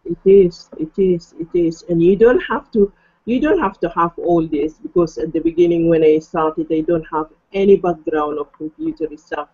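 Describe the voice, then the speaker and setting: A woman's voice: fairly low pitch, sing-song, moderate pace, vocal fry, breathy edge. A woman whose first language is not English speaking earnestly on a video call, repeating her words. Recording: dull lo-fi video call audio.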